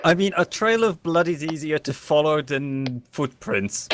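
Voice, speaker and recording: A man's voice: on the high side, lively intonation, a little slow. A man playing a tabletop game over voice chat, throwing in sarcastic quips and casual swearing. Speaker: player.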